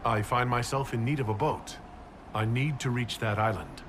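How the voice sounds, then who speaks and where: An actor playing a composed, courteous leader in a fantasy video game, asking questions in a measured, businesslike way. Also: male voice, mid-range voice, long reverb tail, noisy background, natural voice. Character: composed male leader of an inquisition, courteous and purposeful. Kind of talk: video game dialogue acting.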